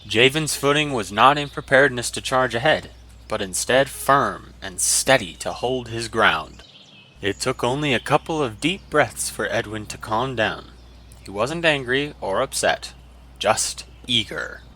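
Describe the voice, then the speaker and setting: A man's voice: medium pitch, lively intonation, measured pace, bright, forceful tone, slight background noise. A man narrating a fantasy story in a dramatic, scripted audiobook style.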